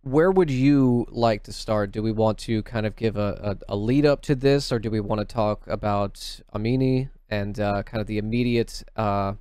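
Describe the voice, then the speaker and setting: A man's voice: lowish voice, darkish tone, clear, resonant tone, no room sound. A man hosting a casual interview, asking questions in a hesitant, polite way. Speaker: host.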